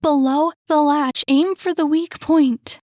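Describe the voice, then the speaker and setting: A woman voicing a desperate trapped young woman who urgently pleads and shouts through a door. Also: high voice, fairly even intonation, slow pace, no echo, clean studio sound, pitched above the performer's own voice. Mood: frantic.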